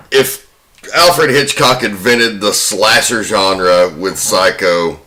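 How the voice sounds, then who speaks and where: A man's voice: lowish voice, sharp tone. A man hosting a podcast, talking about film with a dramatic storyteller's delivery. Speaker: podcast host. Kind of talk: scripted narration of a film plot and round-table film talk. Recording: roomy echo, faint music.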